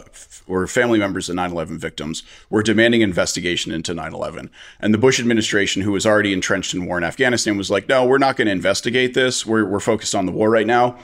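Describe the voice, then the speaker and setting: A man's voice: fairly low pitch, fairly bright tone. An American man, a veteran and interview guest, giving candid, blunt and passionate answers with frequent restarts. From United States.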